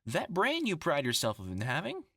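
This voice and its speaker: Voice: mid-range voice, wildly swooping pitch, normal speed, heavy background noise. An actor in a horror audio roleplay voicing a bitter, grandiose scientist bent on revenge, mocking, theatrical and menacing. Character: vengeful, gloating scientist turned superhuman, bitter and grandiose.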